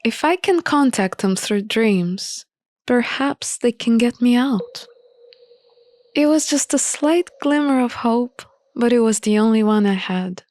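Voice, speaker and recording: A woman's voice: fairly high pitch, expressive, a little slow, a little mellow, dry recording. A woman reading scripted first-person story narration for a visual novel playthrough.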